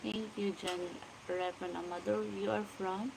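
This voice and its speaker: A woman's voice: mid-range voice, flat delivery, creaky. A Filipino woman in her forties hosting a casual livestream. She reads out viewer comments and greets people by name, talking into a phone. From Philippines. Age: 40s. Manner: greets viewers by name, slow and chatty, mixes in Tagalog. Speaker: livestream vlogger. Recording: noisy room ambience.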